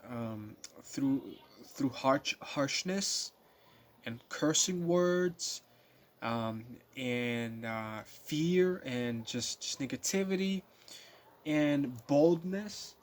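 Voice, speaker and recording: A nineteen-year-old man talking casually to his viewers in a rambling monologue, full of fillers and restarts. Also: medium pitch. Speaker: vlogger.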